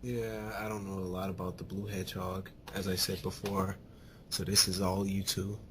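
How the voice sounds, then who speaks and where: A young man leads a casual podcast segment in an easygoing, halting way, stopping on fillers as he moves to the next topic. His voice sits a little low. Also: noisy background. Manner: relaxed, hesitant, many fillers. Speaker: podcast host. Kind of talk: casual chat.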